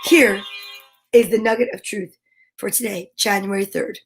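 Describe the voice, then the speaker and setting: A woman hosting an online story time, speaking in a playful, expressive way as if chatting casually with viewers. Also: medium pitch, moderate pace, music in the background. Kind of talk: casual livestream chat with short read-aloud passages.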